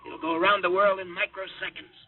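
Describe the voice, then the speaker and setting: A panicky young test pilot in an old radio play, high voice, swings all over, tinny old broadcast sound. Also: male voice, flat delivery, fairly quick, slightly rough. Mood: dazed. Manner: urgent, pleading. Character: frantic young male rocket test pilot. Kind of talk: 1950s radio drama acting.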